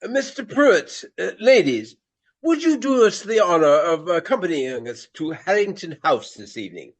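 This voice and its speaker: An actor playing a vain, jovial Regency stage actor with courtly, effusive, old-fashioned speech in a radio play. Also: male voice, high voice, big pitch swings, moderate pace, heavily acted. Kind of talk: radio drama acting.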